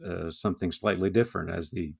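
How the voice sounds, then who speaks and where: Male podcast host, very low voice, conversational interviewer. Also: brisk, gravelly, a little mellow, slightly rough. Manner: conversational, asks questions.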